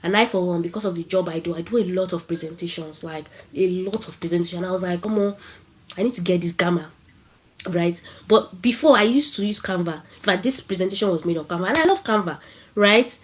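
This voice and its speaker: A woman's voice: fairly low pitch, a little fast, slight background noise. A woman with a Nigerian accent who has a cold, giving a casual talk at an online tech event over a video call and asking the audience questions. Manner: chatty, asks the audience questions.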